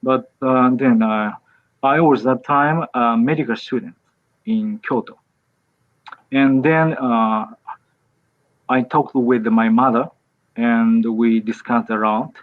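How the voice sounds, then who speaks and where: Slow, hesitant Japanese-accented man, tinny line. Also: mid-range voice, fairly even intonation. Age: 50s. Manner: hesitant, many fillers and restarts. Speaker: priest. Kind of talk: video call interview. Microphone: video call. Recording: tinny phone-like call audio.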